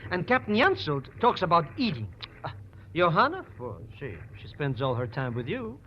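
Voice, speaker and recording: An actor playing a wheedling, shifty little schemer in 1940s radio style. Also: male voice, fairly high pitch, big pitch swings, moderate pace, a little hiss or hum. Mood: chatty, complaining. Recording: dull old radio recording, music behind.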